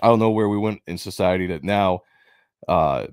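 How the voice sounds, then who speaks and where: A man hosting his own channel, giving a rambling, opinionated commentary and repeating himself, his voice going hoarse. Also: sits low, normal speed, no room sound, studio quality. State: losing his voice, coughing. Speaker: comic book channel host.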